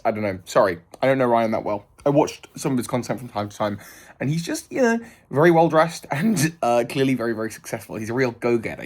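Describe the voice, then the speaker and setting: A man in his thirties talking to camera in a thoughtful, rambling way, with many fillers and restarts. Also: lowish voice. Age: 30s. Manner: thoughtful and earnest. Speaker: vlogger. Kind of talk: reflective casual monologue.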